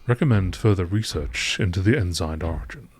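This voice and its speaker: Male narrator, low pitch, measured formal delivery, documentary-style scripted reading, scientific report tone. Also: a little mellow, slight background noise. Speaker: narrator reading game lore entries.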